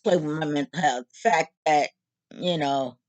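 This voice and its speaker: A woman in her late fifties talking openly to her audience in a casual, animated, joking monologue. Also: sits low, moderate pace, no room sound. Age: 50s. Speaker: vlog host.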